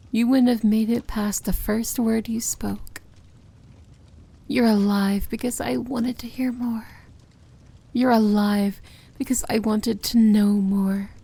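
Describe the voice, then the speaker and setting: Woman acting slow, breathy, seductive evil princess. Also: a little high, heavily acted. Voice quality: soft, breathy, often whispered. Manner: slow, intimate, gently commanding. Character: seductive, tender, commanding evil demon princess. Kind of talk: ASMR romantic fantasy roleplay.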